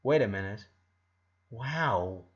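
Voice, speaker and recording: A man voicing a gentle, tender eleven-year-old boy in a storybook reading, soft-spoken. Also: on the low side, lively intonation, slow, muffled recording, voice pushed down. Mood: surprised. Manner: soft-spoken, sometimes cooing baby talk. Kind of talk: storybook character dialogue.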